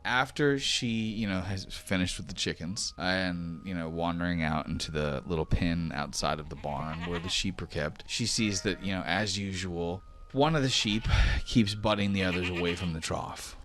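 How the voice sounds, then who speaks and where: Male game master, low register, conversational tabletop roleplay narration. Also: normal speed, a bit bright.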